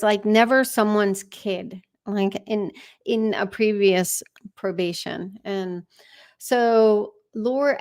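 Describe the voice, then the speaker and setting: A woman's voice: mid-range voice, a little mellow. A woman hosting a true crime live stream, giving informal, conversational commentary with wry asides. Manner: conversational, wry asides, acts out read messages.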